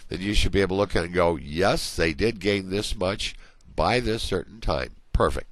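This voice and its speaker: A man's voice: sits low, no echo. A man giving a recorded college management lecture over slides, conversational and full of everyday examples, while he is losing his voice.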